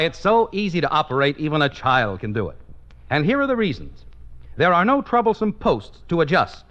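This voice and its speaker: A male announcer reads scripted copy in old-time radio style. His voice is high, bright and highly animated, swinging widely in pitch. It comes through the dull band of a 1950s broadcast. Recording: muffled vintage radio broadcast.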